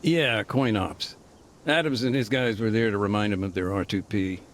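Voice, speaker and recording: An actor playing a middle-aged, war-weary former peacekeeper, speaking plainly with military jargon and dry humor, in a game cutscene. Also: male voice, fairly low pitch, moderate pace, a little hiss or hum, lightly acted. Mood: reflective. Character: war-weary male ex-peacekeeper telling his story. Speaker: interviewee. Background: music.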